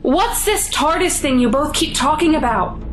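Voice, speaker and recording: Woman acting a worried mom, high voice, earnest and anxious, audio drama w/ music behind. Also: lively intonation, normal speed. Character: worried, pleading mother of a dangerous teenage son. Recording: music under the voice.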